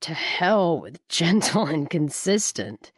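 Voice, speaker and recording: A brash, needling young man, as a woman narrator puts him on, deep-set and blunt. His sarcasm comes through low and steady. The sound is dry and close, with no room around it. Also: slow pace, husky, no echo, exaggerated voice. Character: brash, teasing young man, male friend.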